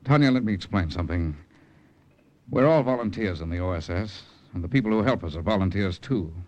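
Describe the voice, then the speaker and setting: An actor playing a calm American undercover agent in 1940s radio style, measured, confiding and reassuring. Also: male voice, sits low. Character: calm, steady American undercover spy, trusting and persuasive.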